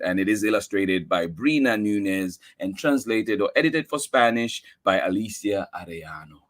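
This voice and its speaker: A man hosting a children's story livestream in a chatty, effusive way, greeting and thanking his listeners. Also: on the low side. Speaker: story time host.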